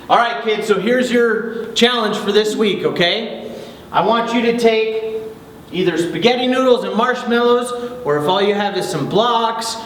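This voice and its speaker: High-voiced man hosting kids, echoey room. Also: fairly bright tone. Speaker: children's ministry host. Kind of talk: casual presenting to children. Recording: echoey room, distant mic, faint noise.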